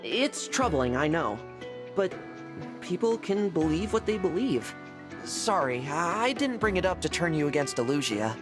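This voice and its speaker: A man's voice: fairly high pitch, sing-song, measured pace, over music. A man playing a friendly, kindhearted young prince in a video game, chatty, polite and upbeat in manner. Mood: earnest. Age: young adult. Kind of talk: scripted video game voice acting.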